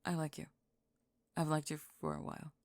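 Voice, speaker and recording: Woman playing a low, gravelly, deadpan teenage bully girl. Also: fairly quick, no echo. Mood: sincere. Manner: deadpan, teasing, blunt. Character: cool, blunt, wry teenage bully girl turned honest. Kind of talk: ASMR audio roleplay acting.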